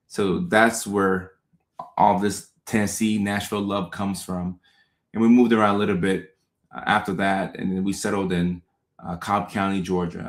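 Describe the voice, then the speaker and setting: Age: 30s. Sex: man. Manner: upbeat comedian greeting viewers, low voice, echoey room. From Ghana. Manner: upbeat, repeats thanks and shout-outs. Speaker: comedian and show host. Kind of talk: casual livestream chat reading out viewer comments. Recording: roomy echo.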